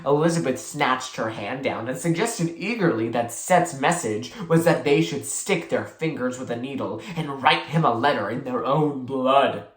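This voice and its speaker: A reader giving an expressive, dramatic read-aloud of a children's story. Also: medium pitch, moderate pace, hardly any pauses. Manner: animated, dramatic. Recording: roomy, echoey sound.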